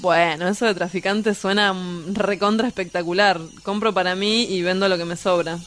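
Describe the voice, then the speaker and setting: A woman's voice: medium pitch, fairly even intonation, moderate pace, a bit bright, a little hiss or hum, lightly acted. Brash young Argentine drug dealer played by a woman. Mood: offhand, boastful. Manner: slangy, teasing, insolent. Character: reckless young male small-time drug dealer, brash, cynical, provocative. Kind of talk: scripted radio drama acting.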